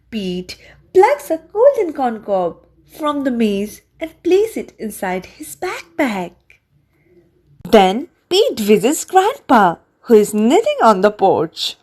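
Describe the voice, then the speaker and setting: Woman reading a kids picture book, fairly high voice, super animated, takes her time. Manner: slow, deliberate storytelling for young children. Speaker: children's book reader. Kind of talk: expressive read-aloud storybook narration.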